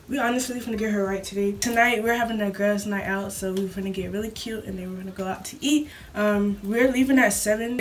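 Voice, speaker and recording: A woman's voice: medium pitch, far from the mic. A young woman with a sore throat talks to her camera in a loose, rambling vlog at home. She sits a little back from the mic, with a faint hiss in the recording. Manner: rambling, talks fast with laughs. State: sore throat, voice a bit deeper. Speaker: vlogger. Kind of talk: casual chatty vlog.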